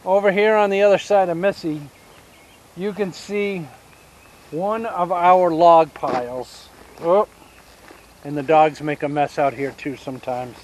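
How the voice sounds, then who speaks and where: A man in his fifties with a Southern US accent, giving a casual outdoor tour of his homestead and explaining each step with pauses. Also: on the high side, fairly even intonation, a little mellow, lo-fi sound, slight background noise. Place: outdoors on rural land by a pine forest. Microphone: action camera held at arm's length.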